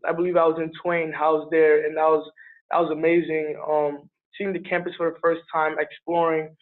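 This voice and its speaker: Higher-pitched, even-toned young man. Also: phone-quality sound. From born in Liberia, moved to Harlem, New York. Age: around 20. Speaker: student panelist. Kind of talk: casual, unscripted panel answer.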